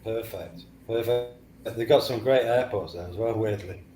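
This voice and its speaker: A man's voice: fairly low pitch, moderate pace, dark tone. A man with a Manchester accent, calling into a livestream panel, chatting in an easygoing way. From England. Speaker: caller on a panel. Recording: echoey room with faint background noise.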